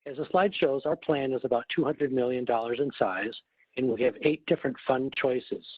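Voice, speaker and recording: Man, husky voice, measured explanatory presenting, formal, thin echoey phone audio. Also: medium pitch. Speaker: corporate treasury executive presenting. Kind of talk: prepared webinar presentation. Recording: muffled phone line, roomy echo.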